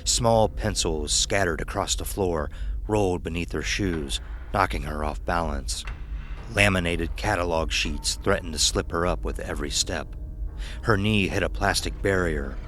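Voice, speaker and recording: A man's voice: sits low, a little slow, a bit bright, slight background noise. A man narrating a scripted horror story for an audio drama.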